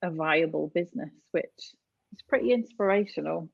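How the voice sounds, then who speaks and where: A woman with a low voice and a creaky, gravelly edge talks casually as a podcast guest. She is from North West England. The sound is close and dry. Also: normal speed, no echo, highs cut off. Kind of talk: casual interview chat.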